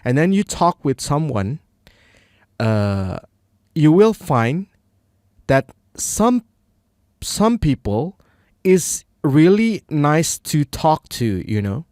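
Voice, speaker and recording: Slow-talking Indonesian man, clear voice. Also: on the high side, very animated, a little mellow, no room sound, studio quality. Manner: unscripted, many restarts and fillers. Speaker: language learner. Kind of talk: casual monologue.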